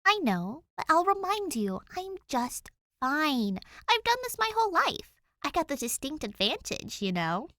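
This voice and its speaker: A headstrong young girl , acted by a woman reader in an audio story. She talks breezily and insistently, higher than plain narration, in a dry close recording. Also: sing-song, measured pace, few pauses, pushed and bright, no room sound, full character voice. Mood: breezy, insistent. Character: headstrong, breezy young girl adventurer, stubbornly self-assured.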